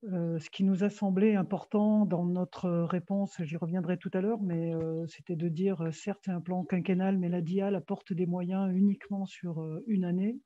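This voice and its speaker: A woman presents informally over a video call. Her voice is dark and mellow, on slightly dull audio. She speaks haltingly, often repeating a word before moving on. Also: lowish voice, fairly even intonation.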